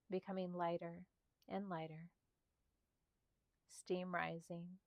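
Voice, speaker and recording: Female meditation narrator, slightly low register, gentle breathy softness, soothing spaced delivery, dry studio-like sound. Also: no room sound, lo-fi sound. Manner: slow, calm, soothing delivery with spaced short phrases. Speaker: meditation guide.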